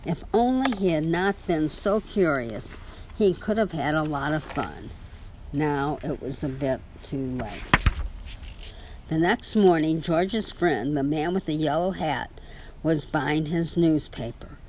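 A woman's voice: sits low, lively intonation, slow pace, darkish tone, slight background noise. A woman reading a children's story aloud to a child, with casual asides.

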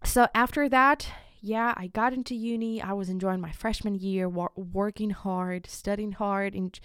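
A young Ukrainian woman hosts a solo podcast in accented English, her native language being Ukrainian. Her voice is clean and smooth, at an average pitch for a woman, in a very dry recording. She speaks earnestly and haltingly, often repeating a word to stress it. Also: steady tone, fairly bright tone, dry recording. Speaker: podcast host. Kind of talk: informal solo podcast monologue.

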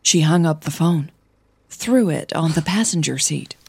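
A woman narrating a scripted audio story as its host, measured and deliberate in her delivery. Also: low-pitched, animated, creaky, a little mellow, breathy edge.

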